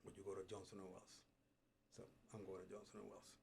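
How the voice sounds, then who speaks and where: A middle-aged man from Puerto Rico, a culinary instructor, telling his life story in a relaxed way, with frequent pauses and "uh"s, in a studio interview. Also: deep voice, vocal fry, slightly rough. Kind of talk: casual interview. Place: radio studio.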